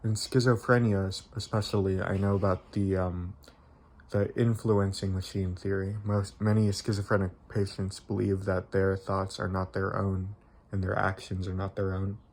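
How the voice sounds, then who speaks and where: A young man giving an informal explanatory video essay, with halting, tentative phrasing and frequent self-corrections. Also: low voice, little pitch movement, darkish tone. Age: young adult.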